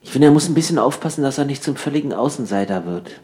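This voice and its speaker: An actor playing a sulky, know-it-all slacker pal in a conversational radio play, grumbling and bickering in slangy, mock-intellectual talk. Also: male voice, medium pitch, lively intonation, racing, warm tone, close to an everyday voice. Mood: dismissive.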